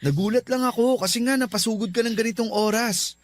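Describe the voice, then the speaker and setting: A man's voice: very high voice, fast talker, no echo. A male actor playing an earnest young suitor and boyfriend in a radio love drama, speaking in a pleading manner. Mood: concerned, puzzled. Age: young adult.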